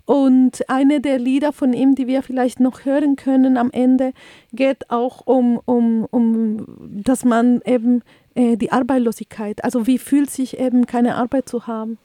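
A woman co-hosting a radio talk show with a fairly high but mellow, warm voice. She speaks thoughtfully and often pauses to search for her words. The sound is dry and close to the mic. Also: level tone, dry recording.

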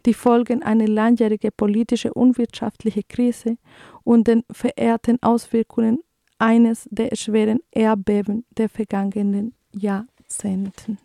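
Colombian-accented female radio host, thirties, higher voice, flat delivery, hesitant with fillers, mellow tone, dry close sound. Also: unhurried, gravelly, dry recording. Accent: Colombian Spanish. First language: Spanish. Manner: hesitant, many fillers and restarts. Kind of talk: community radio commentary and news reading.

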